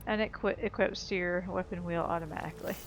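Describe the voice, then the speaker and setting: A woman's voice: on the low side, fairly even intonation, fast talker, slight background noise. A woman giving casual, offhand commentary on her own video game playthrough, swearing freely.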